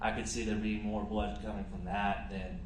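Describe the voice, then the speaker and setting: A man with a fairly low voice answers questions on the stand, mostly briefly, sometimes explaining with hedges. The sound echoes in a large courtroom with faint noise behind. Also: little pitch movement, normal speed. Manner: short answers, hedging explanations. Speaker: police officer witness. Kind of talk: courtroom testimony. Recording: roomy courtroom pool feed.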